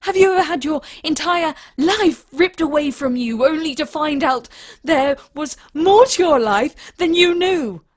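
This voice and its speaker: A woman playing an emotional, traumatized young woman in an audio drama, with a husky voice and halting delivery. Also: high voice, expressive, dry recording. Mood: bitter, furious. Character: traumatized young woman learning her lost family history.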